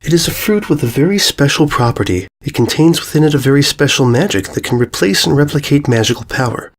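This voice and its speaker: A man voicing a calm, regal female pony ruler, gracious and condescending, with unfeeling menace, in a horror story. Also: on the high side, fast, pitched above the performer's own voice. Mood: reassuring, smooth. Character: serene, regal mare ruler, politely sinister and unfeeling. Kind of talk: dramatized horror fan fiction reading.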